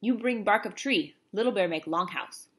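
A woman voicing a calm, stoic male warrior who speaks in clipped, broken phrases. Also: medium pitch, normal speed, close to an everyday voice. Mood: terse.

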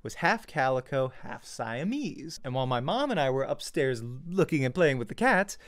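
A young man chatting casually as a vlogger, telling a personal story in an animated way and acting out reactions, close on a desk mic at home. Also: mid-range voice, normal speed. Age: young adult. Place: small home room. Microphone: desk studio mic with pop filter, close.

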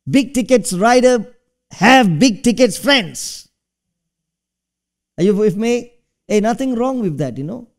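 A man's voice: high-pitched, darkish tone. A middle-aged man from Singapore, preaching a sermon from a church stage and asking the congregation questions. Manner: conversational preaching, asks the audience questions. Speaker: pastor.

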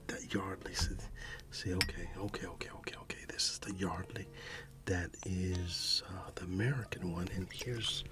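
A middle-aged man whispering softly in an ASMR roleplay, reminiscing with gentle chuckles. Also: deep voice. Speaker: ASMR creator. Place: dim room at home.

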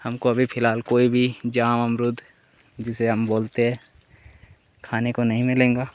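Young guy chatting through his farm walk, pretty flat delivery, phone mic, a bit of outdoor noise. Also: mid-range voice, unhurried. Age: young adult. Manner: chatty, addresses viewers as friends. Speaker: vlogger. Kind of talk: casual walking farm tour vlog. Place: outdoors on a dry farm among trees. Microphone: phone held at arm's length.

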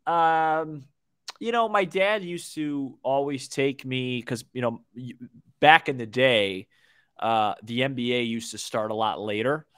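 A man in his twenties co-hosting a casual sports podcast, talking baseball in a halting way with repeated words. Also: medium pitch, a bit bright, smooth, dry recording, studio quality. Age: 20s. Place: small indoor room, video call. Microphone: desk microphone, close.

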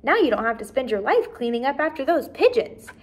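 Woman acting a high-pitched, exasperated mother. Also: sing-song, a little fast. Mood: relieved. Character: exasperated mother of a mischievous girl.

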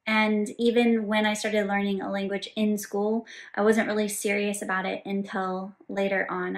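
A woman in her thirties, the resident polyglot, answering viewer questions in a clear, explanatory way from a home office. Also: medium pitch. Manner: clear, explanatory, gestures with both hands. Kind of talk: scripted Q&A to camera. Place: home office with bookshelves and world map, indoors.

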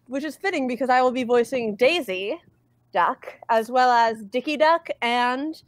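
High-voiced woman chatting on a stream. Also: unhurried, dry recording. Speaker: amateur voice actor in a cast. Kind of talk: casual livestream chat.

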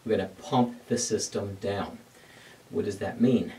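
A middle-aged man teaching an HVAC lesson at a glass board in a dark studio, explaining each step and answering his own questions. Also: on the low side, fairly even intonation, a little slow, distant mic, a little hiss or hum, poor audio. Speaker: HVAC instructor. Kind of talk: whiteboard technical lesson.

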